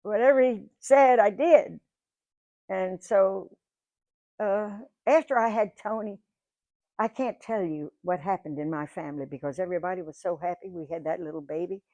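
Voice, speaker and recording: An older woman in her nineties tells her life stories to an audience in a folksy, chatty way. Her voice sits at a middle pitch, and she acts out the lines people said. The recording is dry, with no room echo. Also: moderate pace, warm tone, dull audio. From Georgia, USA. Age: 90s. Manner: folksy, chatty storyteller who acts out quoted dialogue. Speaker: guest speaker. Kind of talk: storytelling to a live audience. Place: museum event room.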